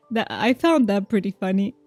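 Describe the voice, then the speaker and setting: A woman's voice: mid-range voice, animated, a little slow, darkish tone, no room sound, soft background music. Chatty Romanian-native woman streamer. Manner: chatty, reassuring, laughs often, many restarts. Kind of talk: casual game stream chat.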